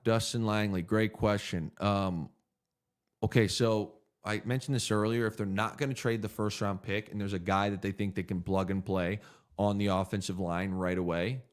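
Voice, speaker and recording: A man in his thirties hosting a casual livestream Q&A, laid-back and joking as he thinks aloud through viewer questions. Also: fairly low pitch. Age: 30s. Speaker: podcast host answering viewer questions.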